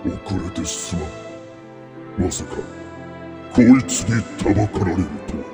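A man's voice: sits low, animated, slow. A man playing a stern, dignified supernatural guardian with terse, old-fashioned speech in a radio drama. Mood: stern, apologetic. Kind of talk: amateur radio drama acting. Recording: echoing reverb, music underneath.

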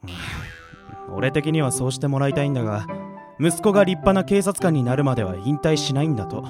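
An actor playing a rough-spoken, exasperated teenage schoolboy, the straight man in a comedy radio drama. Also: mid-range voice, very animated, a little fast, natural voice. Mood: weary, grumbling. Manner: blunt, rough-spoken, retorting. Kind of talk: anime-style comedy radio drama acting. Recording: loud music bed under the voice. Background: music.

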